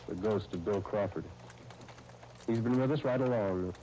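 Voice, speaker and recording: Earnest devoted young husband, played by a man, low mellow voice, halting, old radio drama, noisy recording. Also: lightly acted. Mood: brooding, resigned. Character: earnest, long-suffering young husband Chris, hurt but devoted.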